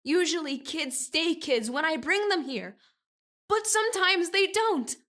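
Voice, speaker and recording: A woman's voice: very high-pitched, lively intonation, a little slow, fairly bright tone, heavily acted. A woman voicing a defensive, naive boy who never grows up, childlike and earnest, in an audio drama. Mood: anxious, guilty.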